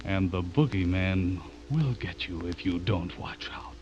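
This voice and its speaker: Muffled old radio, loud music behind, intense male writer, low voice, insinuating, theatrical. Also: unhurried. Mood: sinister, taunting. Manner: insinuating, menacing when reciting. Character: intense male horror writer, sinister when reading aloud. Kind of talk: old-time radio drama acting.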